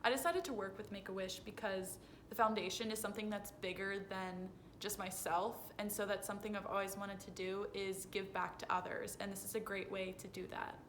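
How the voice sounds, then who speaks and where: Female, twenties, mid-range voice. Earnest spokesperson giving prepared interview answers, slight background rumble on phone audio. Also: steady tone, a little fast, a bit bright. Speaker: interviewee, foundation communications director. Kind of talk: rehearsed on-camera interview answers. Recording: phone video with noisy low rumble.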